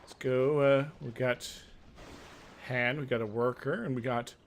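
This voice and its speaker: A man's voice: mid-range voice, fairly even intonation, a little slow, slight background noise. A man hosting a gaming video, switching between dramatic reading of game text and casual, rambling commentary. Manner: hesitant, rambling asides between theatrical narration.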